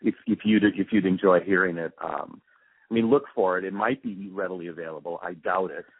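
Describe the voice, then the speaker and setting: A man reminiscing in an interview, telling his stories in an emphatic, animated way. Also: low voice, a little fast, slightly rough, no room sound. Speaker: interviewee, radio drama post-production supervisor. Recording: muffled phone line, dry.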